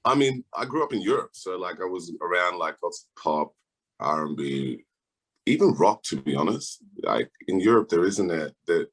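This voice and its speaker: A young man from Austria, a band's lead singer, chatting in an interview in a relaxed, laid-back way. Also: very deep voice, husky. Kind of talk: casual interview chat.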